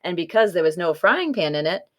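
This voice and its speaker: A woman's voice: on the low side, big pitch swings, brisk. A woman reading a children's folktale aloud as scripted storybook narration. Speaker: story reader.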